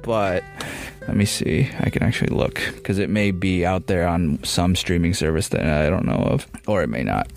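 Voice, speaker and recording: Man, deep voice, podcast host, casual chat, music behind. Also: moderate pace, vocal fry, darkish tone. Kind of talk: casual film discussion.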